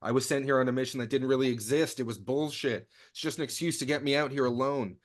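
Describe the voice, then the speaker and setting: A man's voice: medium pitch, fairly even intonation, fast, natural voice. A man playing an earnest, profane secret agent in a comedic table read. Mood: urgent explaining.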